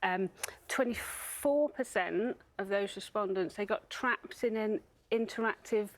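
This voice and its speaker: A woman's voice: medium pitch, unhurried. A woman speaking as a charity spokesperson, explaining things in a broadcast interview. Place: TV studio.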